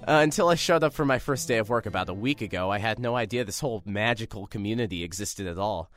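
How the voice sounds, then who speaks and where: A man's voice: lowish voice, quick pace, faint music behind, close to an everyday voice. An actor playing a nervous, rambling young man filling in as a radio host in an audio drama. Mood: earnest, rambling. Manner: rambling, self-deprecating, lively.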